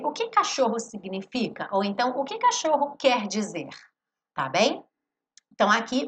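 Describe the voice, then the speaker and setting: A woman teaching an English lesson in a live stream, explaining song lyrics and slowing down to read English phrases with emphasis. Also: fairly high pitch, a bit bright, slight background noise. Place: home studio, live stream.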